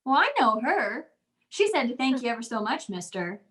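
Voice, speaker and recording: Woman playing little schoolgirl, high voice, chatty, eager, pert, folksy, radio comedy. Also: big pitch swings, moderate pace, a bit bright. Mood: innocent, insistent. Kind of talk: old-time radio comedy acting.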